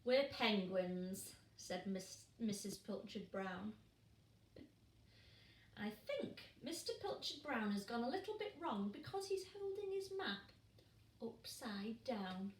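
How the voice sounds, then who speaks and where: A woman teacher reading a picture book aloud to children, gently asking them questions as she goes. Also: fairly high pitch, big pitch swings, slow pace, far from the mic, a little hiss or hum. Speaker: primary school teacher. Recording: roomy sound from off the mic.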